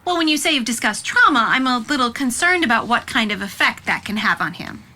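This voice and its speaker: An actress playing an anxious, overprotective middle-aged aunt in an audio drama, careful and apologetic in manner. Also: female voice, fairly high pitch, lively intonation, a little fast, pushed and bright. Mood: probing, concerned. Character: anxious, overprotective, well-meaning aunt hosting dinner.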